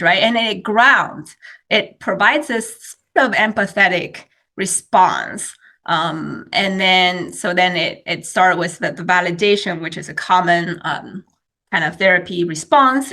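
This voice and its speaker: Woman presenting in a webinar over a video call, chinese is her first language, bright crisp tone, keeps restarting her sentences. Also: lowish voice. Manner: explanatory, many fillers and restarts. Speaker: webinar speaker, researcher.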